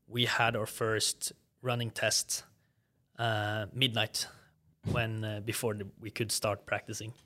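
A young man from Norway with a Norwegian accent, chatting casually as a guest about playing college soccer, relaxed with easy laughter. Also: lowish voice. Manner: relaxed, laughs easily, many fillers. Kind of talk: casual chat.

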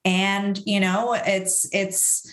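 A woman in her thirties speaking as an interview guest, frank and reflective about her past, sometimes rattling off events in quick runs. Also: medium pitch, level tone, reverberant. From Syria. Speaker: guest, author and professor. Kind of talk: candid interview conversation.

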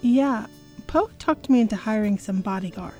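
A woman's voice: medium pitch, animated, moderate pace, close to an everyday voice. A woman playing a spirited, eager young debutante in a 1930s audio drama. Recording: very loud music under the dialogue.

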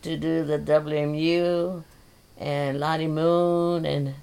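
A middle-aged woman chats casually in a gravelly voice. She speaks haltingly and restarts her sentences, with plenty of fillers. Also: low voice, little pitch movement, warm tone. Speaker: guest, mother of the host. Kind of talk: casual family chat.

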